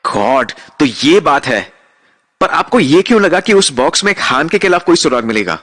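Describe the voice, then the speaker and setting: A man's voice: on the high side, very animated, moderate pace, a bit bright. A man acting as a naive, anxious, good-hearted young traveller in an audio drama, speaking in an emotive, dramatic way. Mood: bewildered, anxious. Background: background music.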